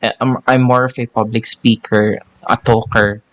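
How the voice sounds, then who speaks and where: A man's voice: mid-range voice, level tone, normal speed, fairly bright tone. A Filipino man who teaches, co-hosting a casual podcast and talking in a rambling way, full of restarts and fillers. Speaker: podcast co-host. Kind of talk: casual chat.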